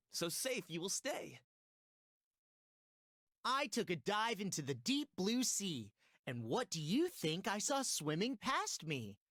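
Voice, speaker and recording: Children's learning game guide, voiced by a woman: friendly, young, very animated, rhyming narration, close dry sound. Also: medium pitch, dry recording. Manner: sing-song rhyming delivery.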